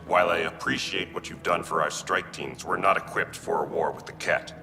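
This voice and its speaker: An actor playing a grave, cautious military man with a deep, gravelly voice in a sci-fi video game. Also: steady tone, brisk. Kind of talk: dramatic video game cutscene acting. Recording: long hall reverb, music behind.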